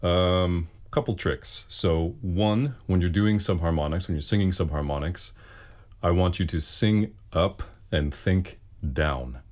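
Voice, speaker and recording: A man with a very deep bass voice, a singer casually answering questions with wry, self-deprecating humor. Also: measured pace, darkish tone. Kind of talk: casual Q and A talk.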